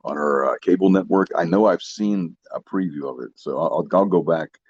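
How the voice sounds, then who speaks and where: A man's voice: low voice, normal speed, a little mellow, slightly rough, no echo. A man co-hosting a podcast, chiming in agreeably in casual chat over a dull, lo-fi connection. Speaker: podcast co-host.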